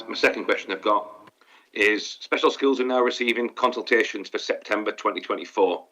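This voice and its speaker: A man with a low but bright, forceful voice works through his questions in order, precise and formal. His tone is lowish yet sharp at the edges. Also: rough recording. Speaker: special school representative.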